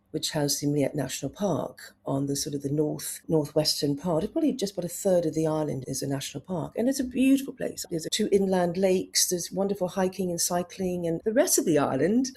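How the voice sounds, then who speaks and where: Low, mellow-voiced woman. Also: slightly rough. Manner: enthusiastic, anecdotal storytelling. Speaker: travel writer guest. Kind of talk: conversational interview.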